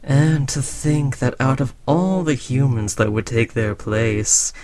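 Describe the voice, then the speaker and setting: Slow, imperious alien overlord played by a man. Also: mid-range voice, mellow, lightly acted. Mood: taunting, soothing. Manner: unhurried, imperious, teasingly patronizing. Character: alien overlord who conquered Earth, smug, condescending, indulgent. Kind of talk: roleplay audio drama, addressing a servant listener.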